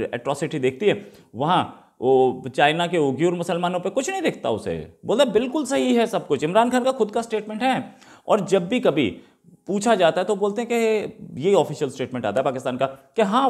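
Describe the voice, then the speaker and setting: A man with an Indian accent giving unscripted, opinionated news commentary as a host, in an argumentative way full of rhetorical questions. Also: on the high side. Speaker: host commenting on current affairs.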